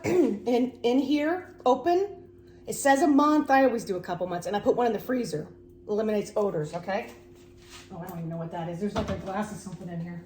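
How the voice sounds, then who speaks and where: A woman's voice: fairly high pitch, slight background noise. A middle-aged woman with a stuffy cold, rambling casually and poking fun at herself in a home kitchen vlog. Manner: chatty, rambling, self-deprecating. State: congested with a cold. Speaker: vlogger. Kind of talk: casual chatty cleaning vlog.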